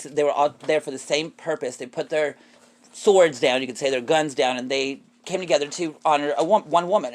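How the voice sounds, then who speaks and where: A man in a casual, opinionated podcast discussion, telling things in a rambling way and correcting himself as he goes. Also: a little high. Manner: rambling, self-correcting storytelling.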